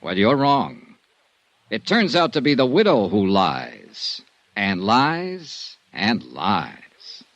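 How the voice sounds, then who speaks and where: A man's voice: on the low side, wildly swooping pitch, slow, fairly bright tone, no echo, muffled recording, full character voice. An actor playing a hard-boiled male insurance investigator in a 1950s radio drama, talking in a clipped, sardonic way. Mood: wry report.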